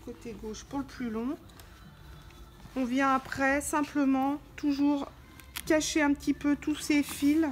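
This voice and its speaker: A woman giving an informal, hands-on product tutorial, explaining step by step as she handles the parts. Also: a little high. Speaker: tutorial presenter for a motorcycle gear channel. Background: background music.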